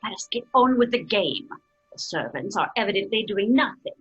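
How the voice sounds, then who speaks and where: A woman's voice: medium pitch, expressive, fairly bright tone, lo-fi sound, soft background music, close to an everyday voice. A woman playing a genteel, chiding middle-aged English mother and hostess in an old-fashioned radio drama, with an English accent. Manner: prim, brisk, proper. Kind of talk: 1930s-style radio drama acting.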